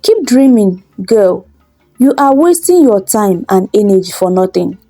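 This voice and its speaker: A woman's voice: mid-range voice, expressive, a little slow, warm tone, soft background music. A woman voicing a cruel, sarcastic male village elder in a folktale. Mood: scornful. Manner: sarcastic, scornful.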